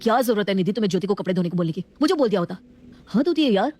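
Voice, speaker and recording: Lady putting on a hot-headed protective big brother, scolding, fast talker, family story. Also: mid-range voice, expressive, mellow, natural voice. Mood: annoyed. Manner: urgent, scolding.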